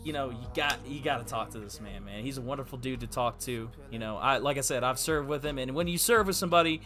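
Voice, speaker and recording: A man's voice: medium pitch, quick pace, sharp tone, soft background music. A man co-hosting a casual podcast as its producer, talking emphatically in slang and repeating himself to drive points home.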